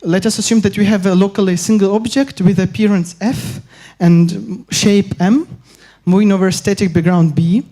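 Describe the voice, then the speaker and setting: Young man from Ukraine, hesitant academic presenter, warm darker voice. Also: high-pitched, fairly even intonation. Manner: halting, many filler pauses and restarts. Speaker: conference speaker. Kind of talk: academic conference talk.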